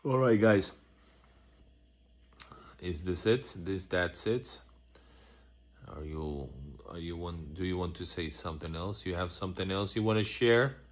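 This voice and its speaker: Very deep-voiced rambling vlogger man. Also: a little slow, long pauses. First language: Russian. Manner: loose and rambling, many restarts and fillers, switches between English and Russian. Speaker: vlogger hosting a live Q&A. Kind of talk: casual live-stream chat answering comments.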